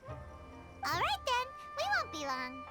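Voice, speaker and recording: A woman voicing a tiny cheerful fairy girl companion in a video game, with a squeaky, eager childlike delivery. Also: wildly swooping pitch, slow pace, over music, heavily acted. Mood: chirpy.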